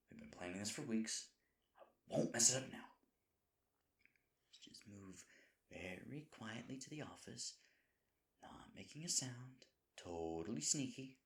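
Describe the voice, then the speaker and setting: A man's voice: fairly low pitch, creaky, heavily acted. A man playing a devoted, goofy boyfriend nervous about proposing, rambling and chiding himself earnestly in a scripted romantic audio roleplay. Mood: hushed, scheming.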